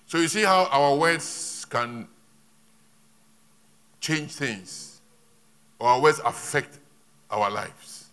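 A male preacher with a bright, forceful voice delivers a sermon in short emphatic bursts. He repeats his points and leaves pauses for an interpreter. The sound comes from a church service. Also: medium pitch, expressive, clear, resonant tone.

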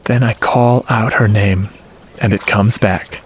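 A man's voice: fairly low pitch, steady tone, a little slow, a crowd in the background, close to an everyday voice. An actor playing a lovestruck, self-doubting audio geek, narrating his romance in short, confiding phrases for a radio drama. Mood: wistful, hushed.

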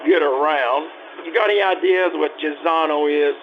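A man's voice: a little high, normal speed, muffled recording, a little hiss or hum, close to an everyday voice. An actor playing a dim small-time crook, just out on parole, who talks slangy tough-guy street talk with bad grammar. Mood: wheedling. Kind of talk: 1950s radio drama acting.

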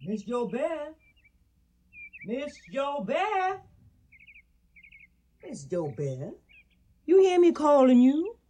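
A woman's voice: high voice, big pitch swings, mellow, a little hiss or hum, heavily acted. An actress playing a caring, wise older Southern household servant in a radio drama, with a motherly manner. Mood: calling out. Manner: unhurried, motherly.

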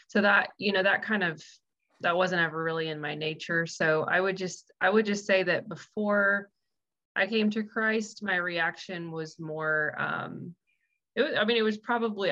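A woman's voice: fairly low pitch, pushed and bright. A woman co-hosting a church podcast, sharing her view in a hesitant, thinking-aloud way. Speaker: podcast co-host. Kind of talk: casual conversation. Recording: dull lo-fi sound.

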